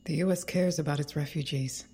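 A wary, analytical woman in an audio drama. Her voice is very husky and breathy, low and dark, as she questions things with principled skepticism. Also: brisk. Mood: skeptical, pressing.

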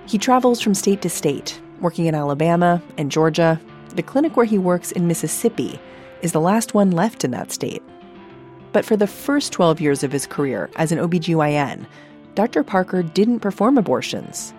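A woman's voice: on the low side, animated, normal speed, darkish tone, soft background music. An American woman hosting a podcast, narrating from a script and interviewing, recorded on a close studio microphone. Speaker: podcast host.

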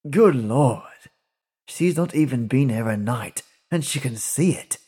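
A man doing a weary young drifter, low and somber. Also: lively intonation, unhurried, mellow, slightly rough. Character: sullen young male drifter, weary beyond his years.

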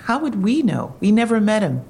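A woman's voice: medium pitch, very animated, normal speed, creaky, darkish tone, a little hiss or hum. An actress in an audio drama playing a skeptical, probing female police detective. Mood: skeptical, questioning.

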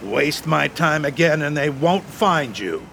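An actor playing a menacing, contemptuous police officer in an audio drama, curtly polite with a threat underneath. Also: male voice, a little high, lively intonation, unhurried, creaky, background noise. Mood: threatening. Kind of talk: scripted audio drama acting.

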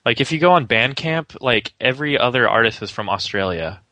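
A man's voice: medium pitch, animated, brisk, sharp tone, dry recording. A man co-hosting a podcast in casual chat, joking and riffing playfully on ideas. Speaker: podcast co-host.

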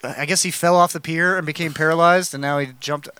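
A man's voice: fairly high pitch, fairly even intonation, fairly quick, pushed and bright, clear, resonant tone. A man co-hosting a casual comedy podcast, reading out odd news stories and joking crudely.

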